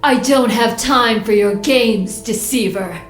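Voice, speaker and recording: A woman's voice: a little high, slow pace, echoey room, soft background music. A woman playing a protective, defiant female detective in an audio drama, with an earnest, firm way of speaking. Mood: defiant, alarmed.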